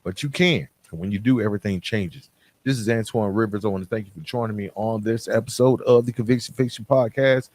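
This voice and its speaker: A man's voice: lowish voice, a little fast, darkish tone. A man hosting a podcast, speaking in an emphatic, plain-spoken motivational style straight to the listener. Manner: emphatic, repeats and contrasts phrases, plain-spoken. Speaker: podcast host. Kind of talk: motivational monologue addressed to listeners.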